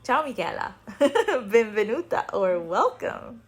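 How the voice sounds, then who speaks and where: A woman's voice: fairly high pitch, sing-song, takes it slowly. Chatty Italian-accented woman hosting, music behind. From Italy. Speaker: podcast host. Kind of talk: casual personal storytelling.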